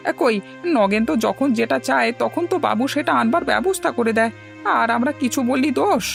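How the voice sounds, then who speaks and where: Woman voicing a fast, creaky, aggrieved village labourer. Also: on the high side, animated, faint music behind. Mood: indignant. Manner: complaining, indignant. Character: aggrieved, hard-worked village labourer man. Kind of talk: cartoon dialogue.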